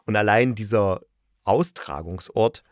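A man's voice: fairly low pitch, a little slow, no echo, studio quality. A man giving a casual spoken review as a reviewer, talking in an enthusiastic, informal and rambling way. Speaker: radio play reviewer.

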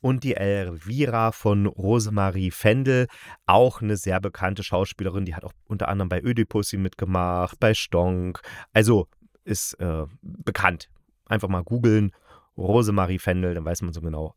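Low-voiced man, casual and rambling. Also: moderate pace, no echo, studio quality. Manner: rambling, playful, colloquial. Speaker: podcast host. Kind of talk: casual solo review of radio plays.

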